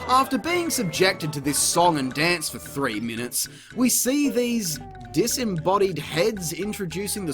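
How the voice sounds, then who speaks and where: A man delivering scripted comedic review commentary in a sarcastic, exasperated, sweary manner. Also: high-pitched. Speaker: video reviewer, host. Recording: music playing behind the voice. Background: background music.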